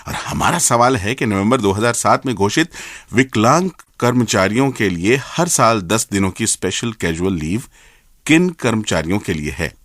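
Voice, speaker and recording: A man's voice: lowish voice, expressive, normal speed, fairly bright tone, dry recording, studio quality. A man co-hosting a radio show, reading scripted links and questions. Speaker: radio co-host.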